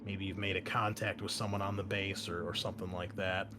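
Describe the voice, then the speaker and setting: A man's voice: sits low, little pitch movement, a little fast, noisy background. A man explaining tabletop game rules casually as game master, thinking aloud as he goes. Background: background music.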